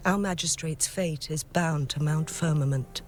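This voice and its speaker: A woman's voice: low-pitched, a little slow. A woman voicing an earnest, loyal guard officer in a video game, speaking formally and solemnly. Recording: game dialogue with music behind.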